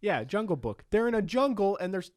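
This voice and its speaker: A man's voice: high-pitched, very animated, brisk, darkish tone, no room sound, clean studio sound. A young man co-hosting a podcast in casual, joking chat, laughing often and saying "yo" and "dude". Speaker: podcast co-host.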